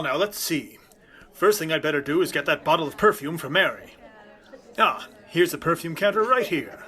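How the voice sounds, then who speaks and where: Blustery, higher-voiced flustered dad. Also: male voice, sharp tone. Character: genial, easily flustered middle-aged suburban father. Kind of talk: 1940s radio sitcom acting.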